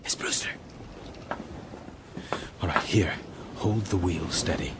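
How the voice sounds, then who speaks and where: An actor in a radio drama playing an earnest, courteous ship's mate, measured and purposeful. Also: male voice, deep voice, expressive, exaggerated voice. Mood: urgent, resolute. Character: earnest, resolute ship's mate, educated man plotting escape. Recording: audio drama with clear ambient noise.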